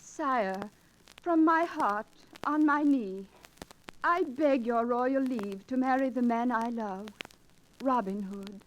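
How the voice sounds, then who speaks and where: High-voiced earnest young noblewoman. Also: slow pace. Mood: earnest, beseeching. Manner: earnest, melodramatic. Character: pleading young noblewoman, devoted and proud damsel. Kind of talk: 1940s radio drama acting. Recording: noisy old radio recording with clicks.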